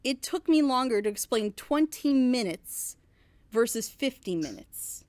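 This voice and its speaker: A woman's voice: on the high side, animated, a little slow, a little mellow, dry recording. A woman reviewer speaking in a casual, opinionated way, with emphatic, rambling explanations and rhetorical questions. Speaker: reviewer on a reaction show.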